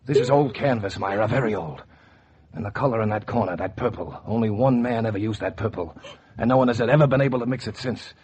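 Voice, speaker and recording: Actor as low-voiced hard-boiled noir man, noisy recording. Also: fast talker, darkish tone, natural voice. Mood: excited, eager. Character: hard-boiled, greedy, excitable man with a lucky find. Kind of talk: 1940s radio noir acting and first-person narration. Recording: muffled, noisy vintage 1940s radio recording.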